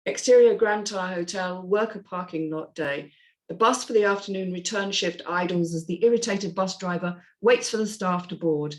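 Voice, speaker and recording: A woman's voice: lowish voice, moderate pace. A woman reading scripted screenplay narration and stage directions as the narrator of a drama table read.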